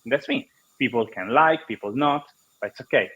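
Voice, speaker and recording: A man's voice: medium pitch, fairly bright tone, slightly rough. Italian-accented man, muffled call audio. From Italy. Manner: halting non-native English, many restarts, explanatory. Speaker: guest speaker. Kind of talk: online conference interview.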